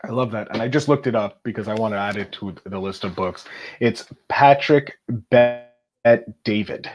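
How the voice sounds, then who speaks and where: Slightly low-voiced man hosting a podcast. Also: moderate pace, soft background music. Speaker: podcast host. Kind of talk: casual conversational interviewing.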